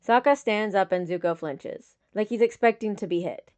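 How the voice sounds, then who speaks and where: Woman, mid-pitched voice, audiobook narration, measured, solemn, dramatic fiction. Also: darkish tone. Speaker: audiobook reader of fan fiction.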